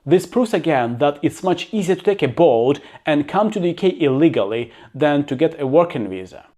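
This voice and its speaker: A man with a slightly high voice, speaking English as a second language. He reads facts in an even way, then gives his own blunt, opinionated commentary in a plain-spoken manner. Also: moderate pace. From abroad, living in the UK. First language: not English. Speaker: YouTuber. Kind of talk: reading facts with personal commentary.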